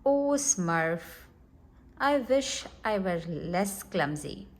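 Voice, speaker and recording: A woman reading a children's storybook aloud in an expressive, deliberate manner. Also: medium pitch. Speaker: storybook reader.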